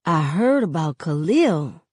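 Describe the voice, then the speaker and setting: A woman voicing an outgoing, gossipy teenage girl who talks in animated slang in an audiobook. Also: sits low, takes it slowly, husky, no echo, clean studio sound, exaggerated voice. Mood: concerned.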